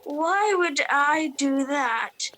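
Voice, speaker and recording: High-voiced, shifty, flippant priest played by a woman. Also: animated, drawn-out words, fairly bright tone, full character voice. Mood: evasive. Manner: casual, slangy, evasive. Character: shifty, flippant fake priest, evasive and breezy. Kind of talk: comedy radio play dialogue.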